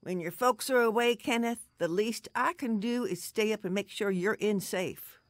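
A woman playing a loving but stern elderly grandmother in a radio drama, earnest and gently admonishing. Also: mid-range voice, normal speed, no room sound, lightly acted. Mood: concerned, reproachful.

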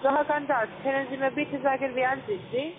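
A woman who is a non-native speaker delivers an insistent scripted sales pitch as a telephone account manager. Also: fairly even intonation, a little fast. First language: not German. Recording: tinny noisy phone line.

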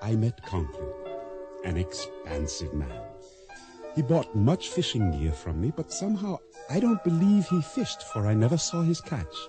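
An actor playing a wry, reflective middle-aged island storekeeper, telling his tale in measured radio drama style. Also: medium pitch, very animated, music in the background.

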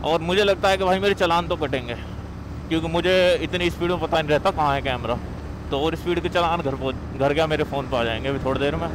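Man, young, high-pitched, excited riding commentary, motorcycle on highway, loud wind and engine behind. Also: fast talker. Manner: excited, calls out speeds while riding. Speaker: motovlogger. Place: on a motorcycle on an open expressway. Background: wind and traffic noise.

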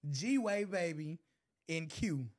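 A man's voice: on the high side, animated, takes it slowly. A young man with a Southern US accent, hosting a casual interview and asking his two friends questions in a playful, laughing way. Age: young adult. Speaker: host.

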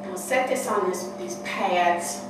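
A woman's voice: on the low side, slow pace. Chatty older woman in an echoey kitchen. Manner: homespun, chatty, talks while working. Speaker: cooking show host. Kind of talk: casual cooking demonstration. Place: large kitchen hall indoors. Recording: echoey room from far off, noisy.

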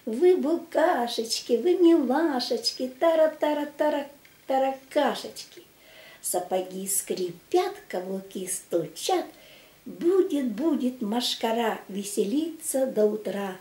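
An older woman reciting a children's verse tale in a theatrical, drawn-out and emphatic way. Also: fairly high pitch, expressive, dark tone. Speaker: amateur children's storyteller with a plush puppet. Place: small room at home. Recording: echoey home room recording.

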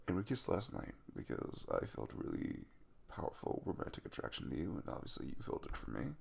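A man's voice: deep voice, vocal fry, heavily acted. A man playing a caring young crush the morning after a kiss in an intimate ASMR roleplay, speaking casually and hesitantly, with a reassuring manner. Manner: hesitant, reassuring, with soft chuckles and trailing pauses.

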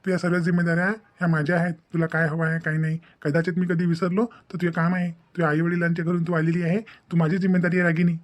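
A man's voice: on the high side, level tone, fast talker, hardly any pauses, close to an everyday voice. A man voicing a devoted young husband in a cartoon family drama, earnest and insistent, lecturing with questioning tags in a Maharashtrian Marathi accent. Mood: insistent, caring.

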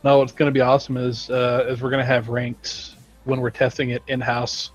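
A man's voice: mid-range voice, completely flat delivery, fairly quick, muffled recording, soft background music. A man on a game studio's live stream, chatting casually and explaining things at length. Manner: talkative, explains at length with acted-out quotes.

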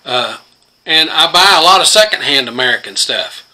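A man's voice: on the high side, animated, normal speed, bright, forceful tone, low-quality recording. An older man in his home workshop, showing his tools in a plain-spoken, rambling way with many fillers. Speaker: homesteader and host. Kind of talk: casual workshop demonstration. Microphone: phone camera close to the workbench.

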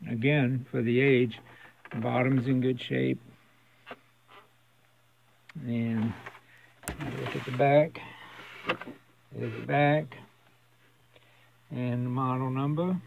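A man with a gravelly, mid-pitched voice walks through a demonstration casually and haltingly. He takes his time and keeps it plain. The recording is dull, with faint noise behind him. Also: steady tone. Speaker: radio restorer demonstrating his work. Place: basement with concrete walls. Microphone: handheld camera, operator behind it.

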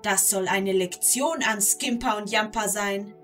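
A woman playing an excitable storyteller in a children's audio play, involved in the tale and speaking straight to listeners. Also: medium pitch, unhurried, faint music behind, lightly acted. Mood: relieved, confiding. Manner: addresses the listeners, gets caught up in events. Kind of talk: dramatic scripted children's storytelling.

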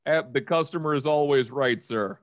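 A man voicing an over-polite customer service agent, formal and halting, in an improvised comedy skit. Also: fairly high pitch, dry recording, lightly acted. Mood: strained patience.

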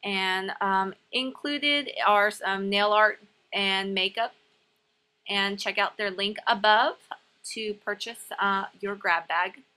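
This is a woman's voice with a bright, crisp-edged tone, sitting at an average pitch for a woman. She talks viewers through steps in a casual, instructional way. It sounds like an informal tutorial voice-over from a hobby host. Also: slow. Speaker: nail art tutorial host.

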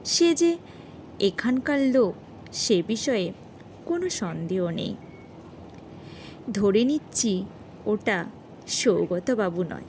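A woman's voice: medium pitch, expressive, slow pace, pauses often, a little mellow, a little hiss or hum. A woman voicing a blustering, incredulous male police officer in charge, scolding and questioning emphatically in an audio horror story. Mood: puzzled, musing.

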